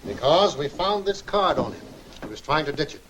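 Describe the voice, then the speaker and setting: An actor playing a brusque police commissioner in a 1940s film serial, curt and commanding. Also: male voice, a little high, big pitch swings, moderate pace, a bit bright. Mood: stern, businesslike. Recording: hissy, noisy 1940s film soundtrack.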